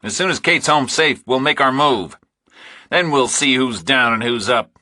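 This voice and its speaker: A man's voice: medium pitch, measured pace, pushed and bright, no room sound, studio quality, pitched above the performer's own voice. A man voicing a menacing ex-military contractor in an audiobook thriller, with combative, clipped tough talk. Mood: vengeful, forceful. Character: hard, menacing male military contractor, ex-soldier.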